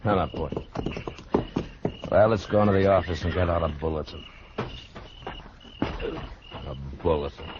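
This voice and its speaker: A deep, low American male voice as a steady, tired lawman of the Old West. He is terse and dry, used to command. It is an old radio western with heavy noise and a dull sound. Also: a little slow, long pauses. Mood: weary, wry. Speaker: radio drama lead actor. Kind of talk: 1950s radio western acting.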